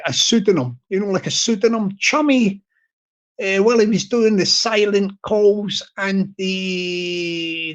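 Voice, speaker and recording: High-voiced man rambling on a livestream. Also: a bit bright. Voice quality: high-pitched tenor voice. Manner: repeats his phrases, rambling. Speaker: YouTuber livestream host. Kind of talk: casual livestream monologue.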